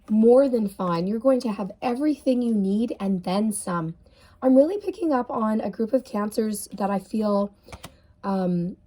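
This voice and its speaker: A woman's voice: medium pitch, warm tone. A woman giving a casual tarot reading in an earnest, intuitive way, her voice tired and sniffly. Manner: earnest, intuitive, many fillers like "like" and "um". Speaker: tarot reader.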